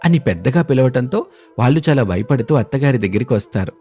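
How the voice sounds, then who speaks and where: A man's voice: medium pitch, lively intonation, fast talker, mellow, slightly rough, soft background music. A man narrating a scripted story as its storyteller.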